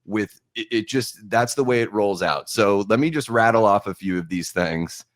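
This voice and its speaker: A young American man speaks as a podcast host in a fairly low voice with a very dry sound. He talks informally and thoughtfully, often feeling his way through a point as he shares opinions and notes. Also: moderate pace, no echo. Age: young adult.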